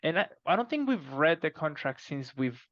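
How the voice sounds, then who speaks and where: A man answering conversationally as a podcast guest, halting and repeating words as he starts phrases. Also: a little high, big pitch swings, a bit bright, dry recording, muffled recording. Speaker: podcast guest, fiction co-author.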